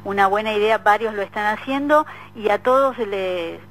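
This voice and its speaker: A woman's voice: mid-range voice, normal speed, fairly bright tone, low-quality recording. A woman with a Buenos Aires Argentine accent, chatting casually as a radio interviewer.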